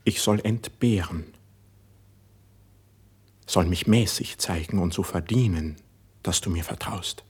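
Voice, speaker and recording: An actor playing an idealistic, impulsive young poet in a classical German verse radio play, speaking in a fervent, declamatory way. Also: male voice, deep voice, slow pace, pauses often, darkish tone, breathy edge, exaggerated voice. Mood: anguished, hushed. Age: young adult.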